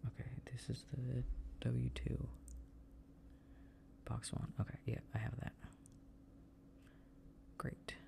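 A man doing a soft ASMR boyfriend roleplay, murmuring and half-whispering to himself as he works. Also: medium pitch, little pitch movement, slow, gravelly, long pauses. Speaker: audio roleplay performer playing a boyfriend. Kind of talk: soft improvised ASMR roleplay, muttering to himself while working.

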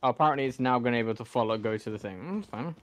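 A young man narrates his gameplay in a loose, rambling way, often restarting sentences and swearing when things go wrong. English is his second language, and his voice sits in an ordinary middle pitch. Faint game sounds run behind him. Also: racing, fairly bright tone, a little hiss or hum. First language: not English. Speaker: gameplay streamer. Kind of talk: casual let's-play commentary.

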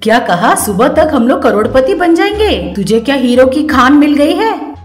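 Cartoon role: furious old mother-in-law woman acting, loud, high, accusing, roomy track. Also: very animated, normal speed. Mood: suspicious. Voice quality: loud, shrill. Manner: harsh, accusing. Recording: roomy sound with music behind.

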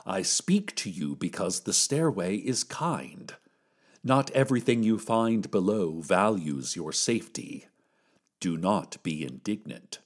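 A man's voice: low voice, sing-song, slow pace, full character voice. A man playing a solemn, cryptic keeper of a magical stairway, giving formal, ominous warnings in audio fiction. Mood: stern, solemn. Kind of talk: scripted audio-fiction monologue.

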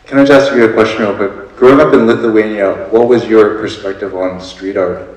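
A man's voice: lowish voice, steady tone, fairly quick, a little mellow. A man moderating a live stage talk, speaking casually with many ums and likes. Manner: casual, filler-heavy, upbeat. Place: theater stage before an audience. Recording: echoey theater from far off.

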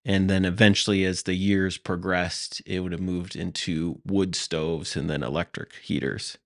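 A man with a low, deep voice walks through history in an easy, matter-of-fact way, like a podcast host talking over a map. The sound is dry and close, with no room echo at all. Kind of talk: casual explanatory talk over maps.

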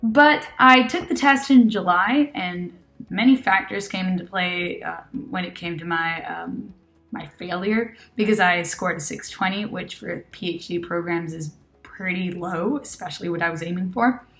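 A woman's voice: lowish voice, moderate pace, fairly bright tone. A woman in her twenties from Argentina, a native Spanish speaker with an American-leaning English accent, giving a casual testimonial over a laptop webcam. She talks in a chatty way with many fillers and self-corrections. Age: 20s. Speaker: test-prep student giving a testimonial. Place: small indoor room with red wall.

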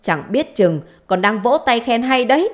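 A woman's voice: medium pitch, lively intonation, moderate pace, close to an everyday voice. A woman voicing a spiteful, foul-mouthed middle-aged village wife in a story, scolding, wailing and taunting. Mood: bitter complaint.

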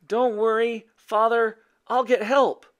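An anxious, determined boy from a storybook, played by a man. He sounds earnest and urgent, pitched up and bright. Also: big pitch swings, normal speed.

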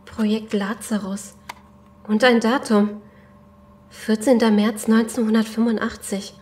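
A woman's voice: a little high, flat delivery, a little slow, darkish tone, natural voice. A woman in a German audio drama playing a curious, helpful survivor.

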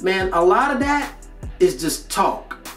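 A man's voice: high-pitched, big pitch swings, takes it slowly, fairly bright tone. American guy giving a slangy pep talk to camera, bit far from the mic, music behind him. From North Carolina, United States. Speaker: host of a motivational video series. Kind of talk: casual motivational talk to camera. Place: indoor studio with plain grey backdrop. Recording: roomy echo, distant mic, music behind.

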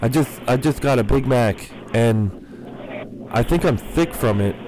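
A young man making a prank phone call as a confused customer, hesitant, bumbling and deadpan. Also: medium pitch, measured pace, slight background noise. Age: young adult. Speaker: prank caller. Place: small cluttered room indoors. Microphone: microphone on a stand, close.

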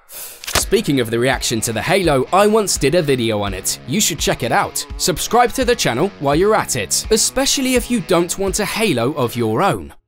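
Sarcastic male explainer narrator, lively pitch. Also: moderate pace. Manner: sarcastic, playful asides. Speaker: narrator of a motorsport explainer channel. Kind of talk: scripted voice-over narration. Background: faint background music.

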